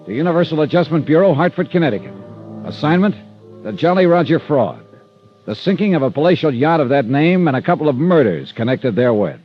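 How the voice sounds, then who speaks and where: A man's voice: fairly high pitch, very animated, a little fast. An American man playing a wry, hard-boiled freelance insurance investigator in clipped, confiding 1950s radio detective style. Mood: brisk, official. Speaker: lead actor and narrator. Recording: muffled vintage radio recording with music.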